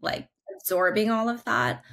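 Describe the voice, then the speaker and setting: A woman in her forties speaks on a video call from her living room, her voice bright and forward. She talks in an upbeat, chatty way, full of loose fillers as she explains her ideas. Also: mid-range voice, very animated, moderate pace. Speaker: guest, creative brand leader. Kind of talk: casual video-call interview. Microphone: video call, laptop camera.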